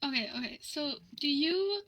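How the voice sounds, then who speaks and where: Very bright, high-voiced young woman. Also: normal speed. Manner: animated ranting, quoting conversations in dialogue. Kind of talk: casual storytelling chat.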